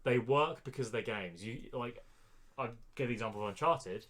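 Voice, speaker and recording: A man's voice: medium pitch, moderate pace, a bit bright. A man co-hosting a podcast, giving blunt, dismissive opinions in a casual debate. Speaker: podcast co-host.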